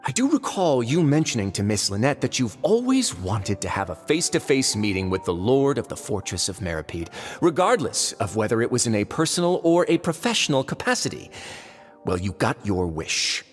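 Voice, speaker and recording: An actor playing a suave, calculating fortress lord in a game, speaking with measured courtesy and veiled menace. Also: male voice, medium pitch, big pitch swings, natural voice. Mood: smug, teasing. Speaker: voice actor in a dubbed video game. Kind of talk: theatrical game cutscene dialogue. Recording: long reverb under game music.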